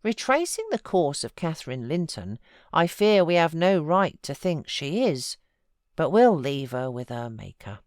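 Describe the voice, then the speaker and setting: Woman voicing an earnest, unhurried housekeeper. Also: low-pitched, sing-song, a little mellow, no room sound, studio quality. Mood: solemn, doubtful. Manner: earnest, questioning, old-fashioned speech. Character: pious, plain-spoken housekeeper woman, reflective.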